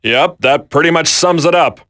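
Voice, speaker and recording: A man acting as a stern squad leader in a video game. He briefs with clipped, emphatic authority in a slightly high voice, recorded dry and close. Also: moderate pace, fairly bright tone, no room sound, close to an everyday voice. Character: stern, no-nonsense male special forces team leader.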